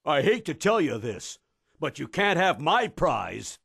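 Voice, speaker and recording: A forceful, very husky voice a brash fighter in an anime game. The pitch swings wildly, and the manner is blunt, combative and taunting. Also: male voice, sing-song, measured pace, no room sound, studio quality, full character voice. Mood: taunting, aggressive. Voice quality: rough, raspy. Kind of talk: scripted anime game dub acting.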